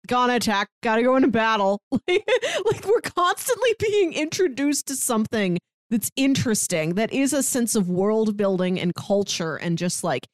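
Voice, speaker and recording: Woman, higher pitch, very lively intonation, casual co-host discussion, mock-dialogue asides, dry sound. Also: normal speed, hardly any pauses, no echo. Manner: acts out mock dialogue to make points. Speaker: podcast co-host reviewing manga.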